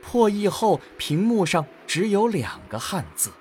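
A man's voice: high-pitched, very animated, unhurried, a little mellow, slight background noise. A man narrating a scripted science-fiction audio drama in a dramatic storytelling style. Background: faint background music.

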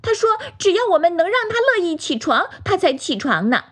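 A woman voicing a lively, helpful little monkey in a children's storybook, energetic in manner. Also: very high voice, brisk, voice pushed up.

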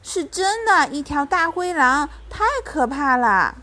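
A woman's voice: sits high, animated, measured pace, heavily acted. A woman voicing a small, frightened but earnest boy in a bedtime storybook, with a hesitant, breathless manner. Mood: frightened, urgent. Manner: breathless, hesitant, hushed when scared.

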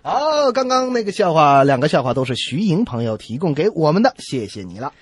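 A man co-hosting a comedy radio show with highly animated banter and joke telling. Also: brisk, slightly rough, no echo.

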